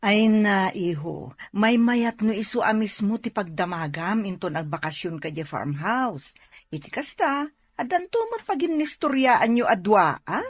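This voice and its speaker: A woman with an Ilocano accent acting a gentle, affectionate mother in a radio drama, soothing in manner. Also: medium pitch, animated, a little slow. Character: gentle mother talking to her child.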